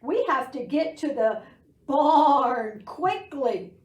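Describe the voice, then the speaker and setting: A timid storybook sheep, voiced by a woman, bleats anxiously in a high, animated voice. It sounds shivery and worried, in a roomy space. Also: slow, distant mic, muffled recording. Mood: alarmed.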